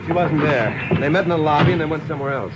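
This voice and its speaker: An actor playing a calm, measured male lawyer in a 1950s radio drama. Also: fairly high pitch, lightly acted. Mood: grave, measured. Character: cool-headed, measured male lawyer, calm under pressure. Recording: muffled, noisy 1950s radio recording.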